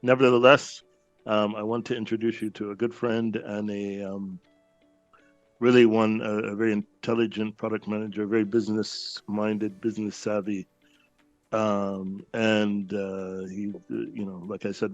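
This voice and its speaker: A man's voice: lowish voice, dry recording, muffled recording. A male interview host casually introducing his guest, rambling a little with many "um"s and "uh"s. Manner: friendly, rambling, lots of "um" and "uh".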